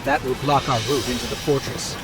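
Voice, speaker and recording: A man voicing a small, loyal robot companion in a video game, earnest, worried and expressive. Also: medium pitch, brisk, natural voice. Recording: voice under loud game music.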